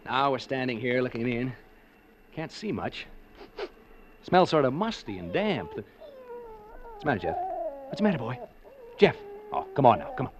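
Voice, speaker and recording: A man's voice: mid-range voice, sing-song, moderate pace, long pauses, noisy background, lightly acted. An actor playing a skeptical, joking male reporter broadcasting a ghost hunt, in 1940s radio acting style. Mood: jokey, chatty. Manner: joking, breezy, chatty with listeners. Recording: dull old 1940s radio recording.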